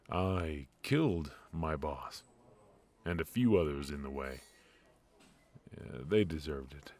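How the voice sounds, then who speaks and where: A man acting a brooding, ruthless yet remorseful mafia defector in an intimate noir ASMR audio drama, speaking in a halting, confiding way. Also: rumbling low. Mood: grim, matter-of-fact. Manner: halting, hesitant, confiding delivery.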